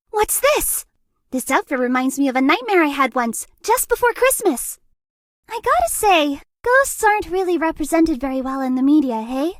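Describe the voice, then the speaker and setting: A woman's voice: extremely high pitch, dry recording, studio quality, full character voice. A woman voicing a shy, sweet, lovestruck young ghost girl for a dating game, with a cutesy, bashful manner. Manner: cutesy, bashful, gushing, with hesitant breathy asides. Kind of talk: dating game character voice lines.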